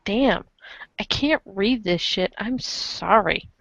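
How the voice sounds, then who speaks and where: A woman's voice: mid-range voice, animated, takes it slowly, creaky, fairly bright tone, no room sound. Woman chatting casually, dull dry recording. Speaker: cast member. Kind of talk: casual chat.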